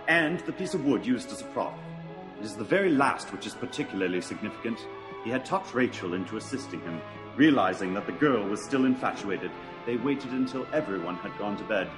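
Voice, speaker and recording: An actor playing a shrewd, self-assured gentleman detective in a radio mystery, analytical and clipped in his remarks. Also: on the low side, lightly acted, poor audio. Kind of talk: radio mystery drama acting.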